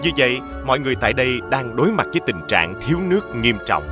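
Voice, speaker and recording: An actor narrating an animated fairy tale as an expressive storyteller. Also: male voice, medium pitch, fast talker, music in the background, lightly acted.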